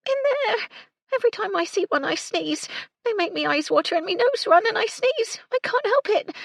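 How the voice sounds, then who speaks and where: A woman's voice: very high voice, animated, moderate pace, vocal fry, no echo, voice pushed up, exaggerated voice. Woman doing a snivelling kitchen maid. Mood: whining. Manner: whiny, tearful. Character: snivelling young kitchen maid girl, clumsy.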